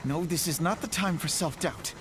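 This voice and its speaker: An actor voicing a solemn young scholar-leader. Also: male voice, a little high, noisy background, natural voice. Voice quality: formal, measured delivery. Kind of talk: voiced video game cutscene. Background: game music.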